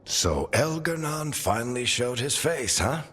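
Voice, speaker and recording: A man voicing a wry, weathered dwarf advisor in a video game, with a deep husky voice. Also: expressive, measured pace, a bit bright, big-room sound. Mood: wry, counseling.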